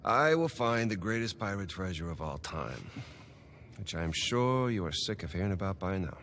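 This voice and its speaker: Low-voiced American convict treasure hunter. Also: male voice, sing-song, fairly bright tone, a little hiss or hum, exaggerated voice. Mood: wry, confident. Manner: casual, halting, wry. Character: wry American treasure-hunting convict, restless and eager. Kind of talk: naturalistic video game cutscene acting.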